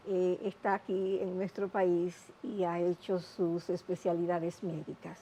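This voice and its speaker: Woman, TV health show host, measured and explanatory, mid pitch. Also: darkish tone, rough recording. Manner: measured, explanatory, with many asides. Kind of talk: TV interview.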